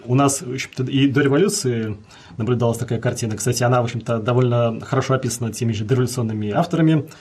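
Fast-talking husky male guest. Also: mid-range voice, darkish tone. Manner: fast, many fillers, lecturing. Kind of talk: explanatory interview answers.

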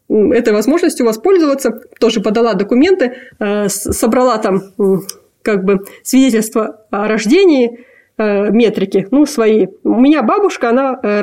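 A young woman from Kazakhstan, a graduate student, talking casually in an interview with many pauses and fillers. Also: on the high side, darkish tone. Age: young adult. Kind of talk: casual conversation.

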